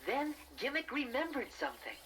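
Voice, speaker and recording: A man's voice: extremely high pitch, huge pitch swings, a little fast, sharp tone, a little hiss or hum, full character voice. A man voicing a friendly, earnest young bear who narrates a children's storybook in a boyish manner. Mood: gentle storytelling. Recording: 1980s cassette with music behind.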